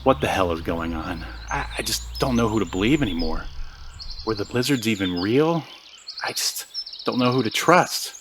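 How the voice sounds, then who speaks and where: A man's voice: on the low side, expressive, normal speed, noisy background, natural voice. An actor playing an exasperated, down-on-his-luck podcaster in a naturalistic audio drama, talking in a defensive, slangy, emphatic way. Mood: weary, doubting. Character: exasperated, down-on-his-luck man, obsessed podcaster hunting lizard conspiracies.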